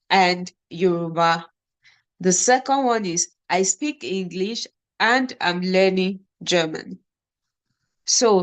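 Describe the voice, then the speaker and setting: A Nigerian woman teaches a beginner class at a very slow pace. She breaks example sentences into single words and says each one on its own. Her voice sits at a middle pitch for a woman. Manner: slow, repeats example phrases word by word. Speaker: German teacher.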